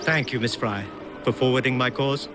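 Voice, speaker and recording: An actor playing a shrewd, courtly prince in a period video game, polite, formal and measured. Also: male voice, medium pitch, steady tone, loud music behind the voice, natural voice. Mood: shrewd politeness.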